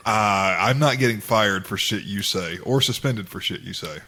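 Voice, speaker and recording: A man's voice: on the low side, moderate pace, pushed and bright. A man co-hosting a casual podcast, blunt and teasing, swearing freely. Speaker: podcast co-host.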